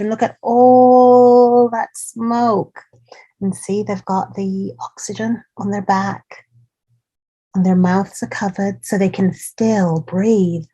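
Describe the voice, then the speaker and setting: A woman's voice: medium pitch, dark tone, slightly rough, poor audio. A woman hosting a children's storytime, reading a picture book aloud and chatting to young listeners in an encouraging way as she points out details. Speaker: children's storytime host and reader.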